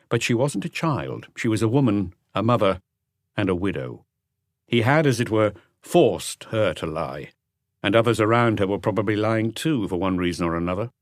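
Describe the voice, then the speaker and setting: A man's voice: fairly low pitch, dry recording, clean studio sound. A man reading a mystery novel as an audiobook narrator in a scripted storytelling style.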